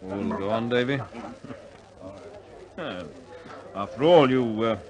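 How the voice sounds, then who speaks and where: An actor playing a calm, loyal RAF squadron commander in a 1950s British war film, with a clipped, understated British delivery. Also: male voice, medium pitch, slow, dull audio. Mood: resigned, steady.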